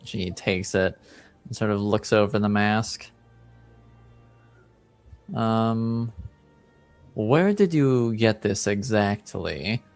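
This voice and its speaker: A man with a smooth, clear voice narrates as game master and voices dialogue off the cuff. He speaks slowly and haltingly, searching for words, with faint music behind him. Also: on the low side, animated. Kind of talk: improvised tabletop narration and character dialogue.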